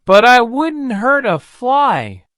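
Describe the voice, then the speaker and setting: Woman voicing a mild, high-pitched friendly dragon. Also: big pitch swings, slow pace, fairly bright tone, no room sound, studio quality, exaggerated voice. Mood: innocent protest. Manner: mild, reluctant. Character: friendly, peaceable, easygoing storybook dragon.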